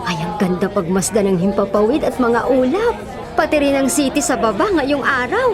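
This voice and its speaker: A woman playing a chatty, devout middle-aged mother and wife in a Filipino radio drama, expressive and fussing. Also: fairly high pitch, normal speed, close to an everyday voice. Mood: excited. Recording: busy crowd sound and music behind. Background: music and crowd bustle.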